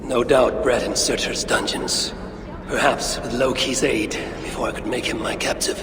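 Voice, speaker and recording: A proud Norse warrior god, acted by a man for a video game, speaks formally and commands as a lord would. The voice is rough with creak, set in a long reverb with clear background ambience noise. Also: mid-range voice, fairly even intonation, moderate pace, lightly acted. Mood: stern, commanding. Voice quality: gravelly. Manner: solemn, formal, imperious. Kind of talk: dramatic video game acting.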